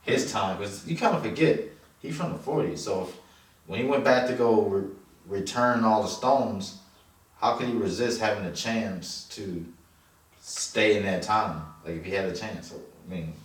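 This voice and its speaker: A low-voiced man talks in loose, slangy, sweary chat, often repeating himself for emphasis. He sounds distant from the mic in a heavily echoing room, with faint noise behind him. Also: normal speed. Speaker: film review co-host. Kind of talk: casual unscripted chat.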